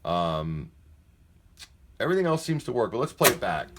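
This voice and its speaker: A man's voice: on the low side, sing-song, normal speed, background noise. A man giving a casual, unscripted product demonstration in a plain-spoken, candid and somewhat hesitant way. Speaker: presenter of a used tape deck demonstration. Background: music playing from the tape deck at times.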